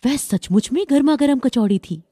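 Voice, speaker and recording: A woman tells a children's bedtime story as a scripted narration. Her voice is mellow and medium in pitch, with lively intonation, recorded dry and close. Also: moderate pace, no room sound.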